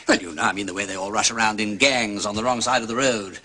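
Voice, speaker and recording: An actor playing an affable, tactful English aristocrat sleuth in a period detective drama, urbane and drily whimsical with an upper-class English accent. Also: male voice, fairly low pitch, fairly even intonation, quick pace, sharp tone, a little hiss or hum. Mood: whimsical, breezy. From England.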